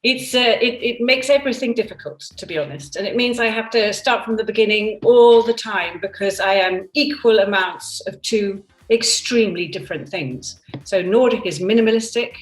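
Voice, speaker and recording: A woman's voice: mid-range voice, normal speed, few pauses. A woman in her fifties from Denmark and England, speaking candidly and thoughtfully in an interview with frequent restarts, over a computer video call from her seaside home. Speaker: product designer interviewed. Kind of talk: reflective conversational interview. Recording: roomy, distant from the mic, soft music behind.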